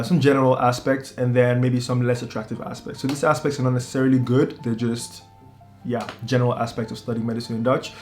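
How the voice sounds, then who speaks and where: A man in his twenties, a medical student, talking casually to the camera in a relaxed way, often restarting his sentences. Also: mid-range voice. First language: English. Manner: relaxed, repeats words and restarts, many ums. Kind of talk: casual talking-head vlog.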